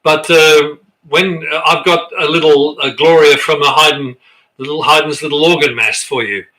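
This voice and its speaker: A man's voice: a little high, fairly even intonation, normal speed, bright, forceful tone, poor audio. An Australian man leading a live online choir rehearsal, chatting on a sensitive computer mic as he reads viewers' comments aloud with lots of restarts. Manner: chatty, rambling, with frequent restarts and fillers. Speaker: choir director. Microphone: sensitive computer microphone.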